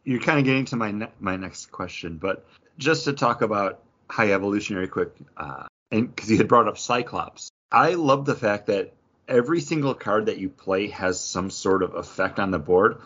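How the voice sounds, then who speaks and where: A man's voice: on the low side, lively intonation, moderate pace, a bit bright. A man hosting a podcast, chatting casually and enthusiastically about a hobby. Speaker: podcast host. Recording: dull lo-fi audio.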